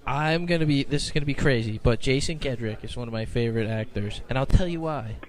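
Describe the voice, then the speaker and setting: A man's voice: medium pitch, quick pace. A man hosting a radio interview with casual banter. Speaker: radio show host.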